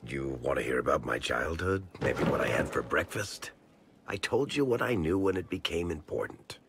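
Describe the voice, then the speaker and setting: Very deep, forceful roguish dwarf. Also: male voice, animated, normal speed, a little hiss or hum, exaggerated voice. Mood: sardonic. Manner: sardonic, informal, emphatic. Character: roguish male dwarf storyteller, loyal, wry, guilt-ridden. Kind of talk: video game cutscene acting.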